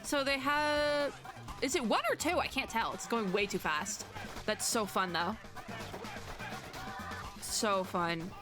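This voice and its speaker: A woman doing casual reaction commentary as a VTuber, chatty, expressive and slangy. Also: fairly high pitch, slow pace, pauses often, music in the background.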